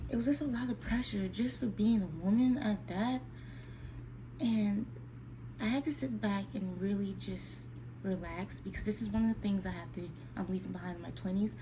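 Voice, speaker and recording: A woman around thirty talks to her audience in a candid, conversational vlog style. Her voice sits at a medium pitch and she addresses listeners directly, as if confiding in them. There is clearly audible ambient noise in the recording. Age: 29, almost 30. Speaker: vlogger speaking to her viewers. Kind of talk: casual, candid sit-down chat.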